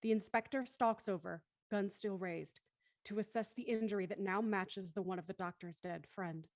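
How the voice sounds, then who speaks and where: A woman's voice: mid-range voice, moderate pace, dry recording, clean studio sound, close to an everyday voice. A woman narrating an audio thriller in scripted present-tense prose.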